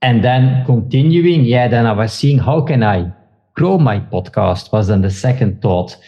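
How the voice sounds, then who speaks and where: A man from Belgium with a Belgian Dutch accent, a podcast guest talking casually in halting sentences with many restarts. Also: medium pitch, animated, mellow, highs cut off. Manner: halting, with frequent restarts and fillers. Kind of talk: casual interview talk.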